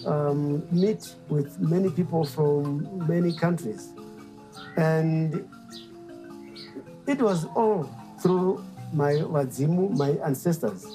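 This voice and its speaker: A middle-aged man speaks in an unhurried, reflective way, pausing often and filling gaps with soft hesitations. His voice is mellow and warm, and faint mbira music plays quietly behind him. He tells his story as a musician and instrument maker in a documentary interview. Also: on the high side, poor audio. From Zimbabwe. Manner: slow and reflective, with pauses and fillers.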